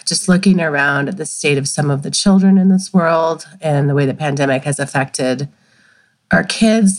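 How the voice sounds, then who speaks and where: A woman co-hosting a self-help podcast, a wellness coach who sounds congested and talks in an earnest, emotional way. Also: sits low. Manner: emotional, earnest, laughs easily. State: congested from illness. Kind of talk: casual podcast chat.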